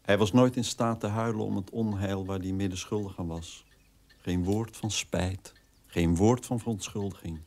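An actor playing a resentful, tormented brother in a radio drama, emphatic and reproachful. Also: male voice, lowish voice, fairly even intonation, normal speed, a little mellow, natural voice. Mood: brooding. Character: resentful, accusing brother tormented by his father's guilt.

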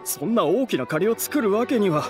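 A man's voice: high-pitched, lively intonation, fairly quick, soft background music. An actor playing an earnest, courteous young man from a theater troupe in a Japanese anime game. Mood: flustered.

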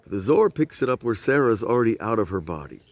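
A man's voice: fairly low pitch, very animated, fast, dry recording. Older man teaching a Torah lesson outdoors. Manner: conversational, chuckles, asks rhetorical questions. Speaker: rabbi teaching. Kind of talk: informal Torah lesson, storytelling. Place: outdoors at night by old stone walls. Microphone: phone camera at arm's length.

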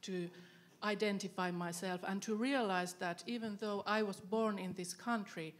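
A woman, speaking thoughtfully as a panelist into a handheld mic, with frequent filler pauses. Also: medium pitch, fairly even intonation. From Finland. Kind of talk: panel discussion. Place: event stage with panel tables, indoors.

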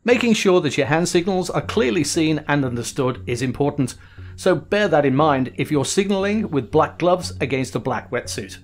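A middle-aged man hosting a diving lesson, giving calm, scripted voice-over instructions and acting out signals as quoted lines. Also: on the high side, normal speed. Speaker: host.

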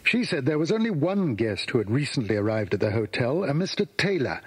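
A man's voice: medium pitch, very animated, a little fast, breathy edge. A man with a British accent narrating a scripted children's story.

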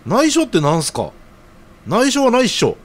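Actor as an earnest, animated young man. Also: sits high, normal speed. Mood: curious. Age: young adult. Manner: casual, deferential junior's slangy speech. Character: earnest, hesitant young man unsure about marriage. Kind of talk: audio drama dialogue.

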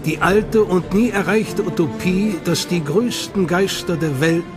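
A man narrating a documentary in a measured, dramatic manner. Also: high-pitched. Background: music.

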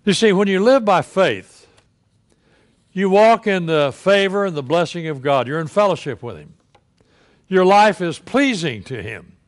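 Elderly man, pastor, church pulpit, sermon, unhurried, slightly high voice. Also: animated. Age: older. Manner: slow, deliberate preaching with emphatic pauses.

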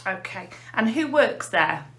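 A woman's voice: medium pitch, very animated, measured pace, fairly bright tone. An English woman, a nurse specialist, interviewing a colleague with plain scripted questions.

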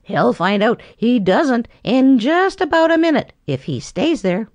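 A woman reading a storybook and voicing a bossy male blue jay in a shrill, scolding tone. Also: very animated, unhurried, a little mellow, no room sound, muffled recording. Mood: scornful. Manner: shrill, scolding, high-pitched.

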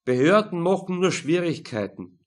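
Man voicing an insistent, high-pitched ranting older tennis coach. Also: animated, moderate pace, close to an everyday voice. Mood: indignant, insistent. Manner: emphatic, declamatory monologue. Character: opinionated mid-sixties man, ranting tennis coach, conspiratorial. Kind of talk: audiobook character dialogue.

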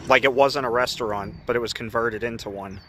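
Casual male explorer vlogger, mid-pitch, talkative, faint outdoor noise. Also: steady tone, a little fast, sharp tone. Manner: chatty, ranting, easily indignant. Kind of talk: casual walk-around narration. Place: outdoors around an empty brick building.

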